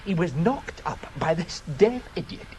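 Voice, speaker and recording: Wry Scottish local, very animated intonation, ruminative, hesitant, radio drama, clear background noise. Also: male voice, high voice, moderate pace. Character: Scottish local man, wry teller of curious tales. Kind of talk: British radio drama acting.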